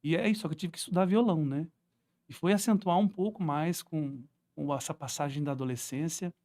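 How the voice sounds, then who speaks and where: Brazilian male interview guest, quick talker, slightly high voice, relaxed conversational storytelling. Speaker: guest musician, singer-songwriter.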